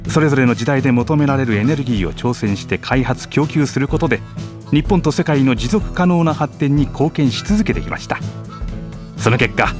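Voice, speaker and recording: A man playing a polite, earnest and excitable department manager in a radio drama. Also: medium pitch, natural voice. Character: courteous, easily flustered department manager explaining company history. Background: background music.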